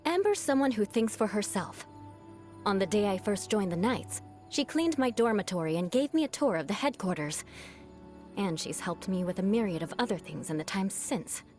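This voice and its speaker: An actress voicing a proud young noblewoman knight in a video game, with a haughty, formal, theatrical manner and a vengeful streak over a soft heart. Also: mid-range voice, animated, moderate pace, natural voice. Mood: composed, proud. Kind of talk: video game character voice lines. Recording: music behind the voice. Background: background music.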